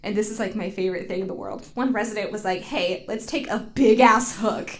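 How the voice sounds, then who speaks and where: A woman's voice: mid-range voice, brisk. A woman chatting casually as a podcast co-host, telling a story in a giggly, sweary way full of "like". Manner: giggly, sweary, heavy use of "like". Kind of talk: casual comedic chat, storytelling.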